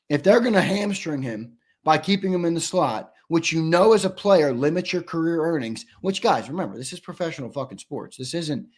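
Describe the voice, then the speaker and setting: Man, sports talk host, slightly high voice, hoarse, argumentative, repeats points for emphasis. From United States. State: losing his voice, hoarse. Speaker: sports show host reading viewer comments. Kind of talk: live-stream sports talk.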